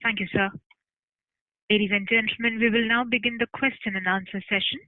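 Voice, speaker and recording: A woman's voice: a little high, steady tone, fairly quick, no room sound. Woman operator on a phone line. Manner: formal, procedural. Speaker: conference call operator. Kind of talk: scripted call announcements. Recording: dry narrowband telephone line.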